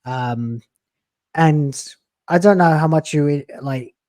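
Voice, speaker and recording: A man's voice: a little high, slow pace, a little mellow, no echo. A man in his late thirties, a YouTuber talking in a casual podcast chat, blunt and profane, with emphatic swings in delivery. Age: late 30s. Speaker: YouTuber guest.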